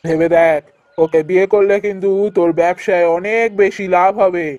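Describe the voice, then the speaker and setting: A man's voice: sits high, steady tone, moderate pace, darkish tone, no room sound, lightly acted. A man playing a commanding wandering holy man in a folk-tale cartoon, speaking in solemn, oracular pronouncements.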